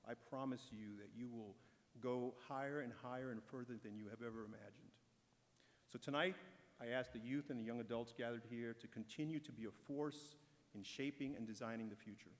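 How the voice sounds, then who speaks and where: A man delivers a measured, encouraging prepared speech at an awards ceremony. His voice sits at an ordinary pitch. A long reverb trails each phrase through the large hall. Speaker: corporate speaker at an awards ceremony.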